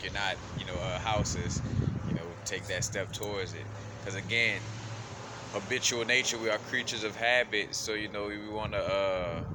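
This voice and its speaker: Man from Louisiana, low voice, loose slangy ramble, heavy noise behind him; casual spiritual vlogger. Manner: loose, filler-heavy, laid-back slang. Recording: loud background noise.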